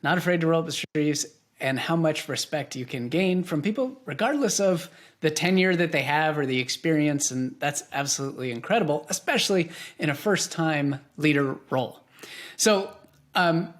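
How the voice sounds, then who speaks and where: A man hosting a podcast interview in a conversational, enthusiastic and affirming manner. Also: fairly high pitch.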